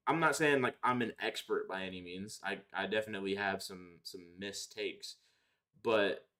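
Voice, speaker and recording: A young man chatting casually on a livestream, answering viewers in slangy, profane, opinionated talk. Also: on the low side. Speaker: live streamer.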